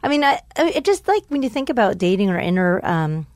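A woman hosting a podcast in a studio, chatting casually in a bubbly, blunt and crude way, quick to laugh. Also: medium pitch, sing-song, darkish tone. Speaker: podcast host. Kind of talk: casual chat. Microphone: studio microphone.

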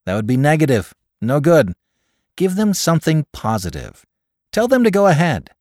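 A man's voice: mid-range voice, sing-song, moderate pace, no room sound, studio quality, voice pushed up, lightly acted. A man voicing a coolly logical male friend who gives persuasive advice in a clipped, exact way, in an audiobook story. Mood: brisk, insistent.

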